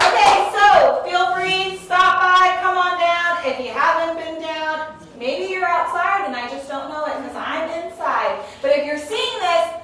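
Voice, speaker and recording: A bubbly woman with a very high voice announces a prize drawing at an unhurried pace. She stands well back from the mic in a large indoor room that echoes heavily, and a faint hiss sits under the recording. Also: pushed and bright, recorded from a distance. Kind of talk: livestream announcement.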